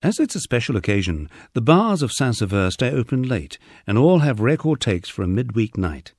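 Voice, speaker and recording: A man narrating a first-person memoir from a script, with a husky voice and a wry storytelling manner. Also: lowish voice, a little mellow, slight background noise. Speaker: audiobook narrator.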